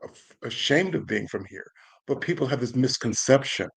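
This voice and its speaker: An older man from the United States, a guest in a casual interview, speaking haltingly with many restarts. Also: mid-range voice, expressive, vocal fry, breathy edge, low-quality recording. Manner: halting, frequent restarts and "you know".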